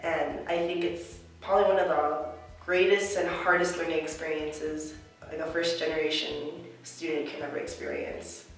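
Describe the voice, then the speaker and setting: A woman's voice: low-pitched, little pitch movement, normal speed, soft background music. Earnest young woman in an echoey room. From United States. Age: young adult. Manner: reflective, earnest, heavy on filler words. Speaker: college student interviewee. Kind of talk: personal interview answers. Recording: echoey room, mic at a distance.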